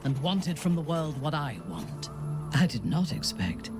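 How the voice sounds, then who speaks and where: A woman playing a proud, vengeful, long-lived dark elf noblewoman in a video game, speaking in a measured, guarded, formal manner. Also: deep voice, very animated. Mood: reflective, vulnerable. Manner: measured, deliberate, grave, formal phrasing. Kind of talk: dramatic video game acting. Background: background music.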